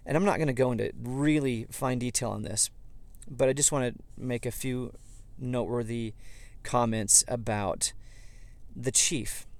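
A man from southeast Idaho with a slightly hoarse, froggy voice, talking as a podcast host in a rambling, self-correcting way. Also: mid-range voice, a little mellow. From southeast Idaho, USA. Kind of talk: casual history podcast monologue. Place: inside a parked vehicle.

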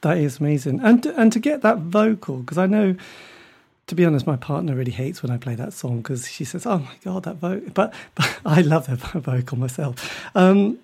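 Gravelly, husky male interviewer. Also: on the high side, expressive, moderate pace, a little mellow. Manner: hedging, with many fillers. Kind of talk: interview questions.

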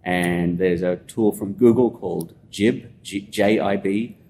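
Deep-voiced Australian man, echoey room. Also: measured pace. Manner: relaxed, rambling explainer with many fillers. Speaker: guest. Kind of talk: casual conversational interview. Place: conference venue. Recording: echoey room with faint rumble.

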